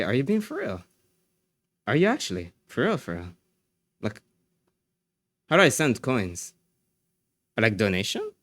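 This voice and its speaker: Brief: male streamer, low voice, dry desk mic, easygoing chat replies. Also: no room sound. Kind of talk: casual live-stream chat.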